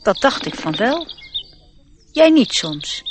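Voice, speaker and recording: Radio play girl: curious, direct, lightly scolding, fairly high, faint outdoor noise. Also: sing-song, slow. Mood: curious, friendly. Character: friendly, curious young girl, gently chiding.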